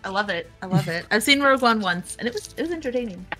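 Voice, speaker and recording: A woman playing a tabletop game, halting and filling pauses with ums. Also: mid-range voice, animated, music in the background. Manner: halting, many fillers. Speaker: player.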